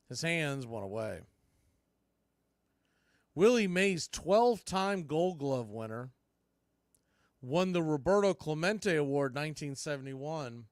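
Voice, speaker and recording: Male play-by-play announcer, slightly high voice, dry close sound, clipped calls, baseball trivia between pitches. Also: dry recording. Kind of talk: live play-by-play commentary mixed with trivia.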